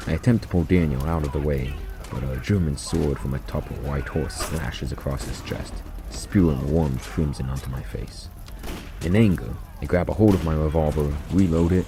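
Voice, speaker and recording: A man reading a first-person short story aloud in a deliberate, grave, theatrical way, on a noisy recording. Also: rumbling low. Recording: noisy recording with constant background noise.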